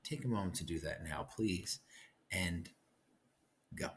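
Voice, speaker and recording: A man's voice: deep voice, unhurried, a bit bright, a little hiss or hum. A man speaking as a teacher in a recorded read-aloud lesson, addressing students directly and instructively.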